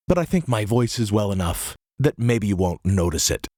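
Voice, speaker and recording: A hoarse man narrating a history podcast in a measured, earnest way. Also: fairly low pitch, expressive, darkish tone, no room sound, clean studio sound. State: hoarse, recovering from illness. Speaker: podcast host.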